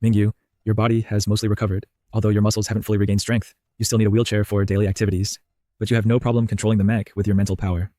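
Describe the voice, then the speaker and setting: Man playing a pragmatic army tactician, anime dub, low mellow voice, talks fast. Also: level tone, dry recording, lightly acted. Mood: matter-of-fact.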